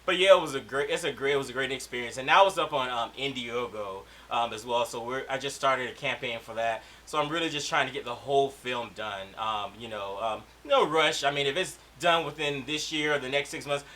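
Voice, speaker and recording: A man's voice: mid-range voice, brisk, hardly any pauses, pushed and bright, slight background noise. A man being interviewed about his film in a studio, talking casually, laughing often and repeating himself. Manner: easygoing, laughs often, repeats words like "exactly". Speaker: guest filmmaker. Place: studio in Las Vegas.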